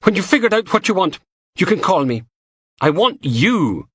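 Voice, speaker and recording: A man's voice: high voice, very animated, normal speed, a bit bright, slightly rough, no room sound, studio quality, pitched above the performer's own voice, exaggerated voice. A man voicing an upset young woman, strained and emotional. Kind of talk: audiobook character voice.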